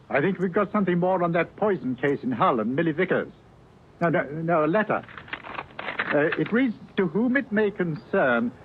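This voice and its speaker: Casting: man as deferential British police inspector, old radio drama, high-pitched, businesslike, faint noise on the recording. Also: narrow, tinny audio, close to an everyday voice. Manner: brisk, deferential, official. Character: dutiful male British police inspector on telephone.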